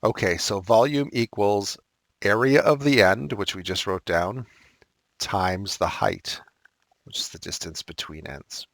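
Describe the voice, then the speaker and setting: Man, math teacher, slightly raspy, low pitch, casual self-correcting, dry recording. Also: lively intonation, unhurried, dry recording. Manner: casual, thinks aloud, corrects himself. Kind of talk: recorded math lesson walkthrough.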